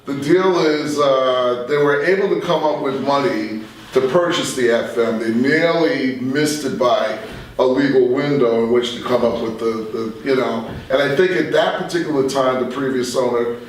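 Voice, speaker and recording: An older man telling stories conversationally as an interview guest, in a rambling, unhurried way. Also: mid-range voice. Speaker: interview guest, radio veteran. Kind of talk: conversational reminiscing. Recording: very echoey room, distant microphone.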